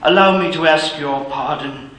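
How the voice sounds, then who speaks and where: An actor playing a reformed old miser, giddy and generous, laughing and exclaiming in a radio play. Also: male voice, fairly high pitch, moderate pace, fairly bright tone, lightly acted. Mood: contrite. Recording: very echoey room.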